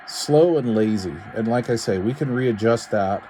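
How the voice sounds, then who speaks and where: A man casually talking through a hands-on repair demonstration, informal and folksy, thinking aloud in a halting way. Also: medium pitch, dark tone, a little hiss or hum, rough recording. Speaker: radio repair technician and video host. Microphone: handheld camera while working.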